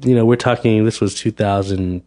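A man's voice: on the low side, fairly even intonation, moderate pace, dark tone. A man, a restaurant owner chatting casually as a guest, often restarting and repeating words. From United States. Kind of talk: casual interview chat.

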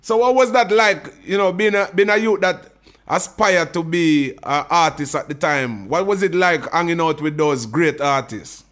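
A man's voice: fairly high pitch, normal speed, fairly bright tone. A man hosting a podcast, asking questions in a friendly, laid-back way with short interjections. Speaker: podcast host. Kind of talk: casual interview chat.

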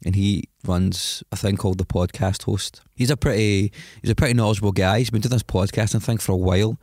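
A man's voice: low-pitched, a little mellow, no room sound, clean studio sound. A Scottish man with a head cold hosting a podcast, talking in a chatty, rambling and self-deprecating way. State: has a cold, sniffly. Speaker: podcast host. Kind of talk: solo podcast intro monologue.

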